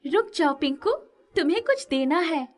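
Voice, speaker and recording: Older village wife done by a woman: high, animated voice, motherly, giving, emphatic, moral story cartoon. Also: normal speed. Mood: affectionate.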